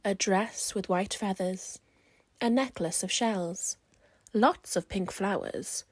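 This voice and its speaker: A woman's voice: mid-range voice, slow, no room sound. A woman reading a rhyming children's story aloud as scripted narration. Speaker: storybook reader.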